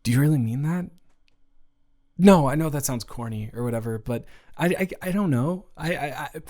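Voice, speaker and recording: A young man playing a gentle, awkward roommate with a crush in an improvised roleplay audio, hesitant and reassuring as he talks. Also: fairly high pitch, lively intonation, normal speed, natural voice. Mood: tender, shy.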